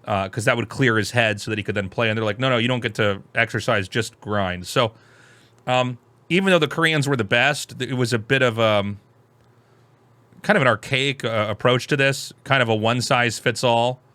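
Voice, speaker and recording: An American man hosting, reacting to a video in casual commentary, animated and full of restarts. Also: medium pitch, fairly quick, no echo. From United States. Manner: animated, restarts often, exclaims loudly.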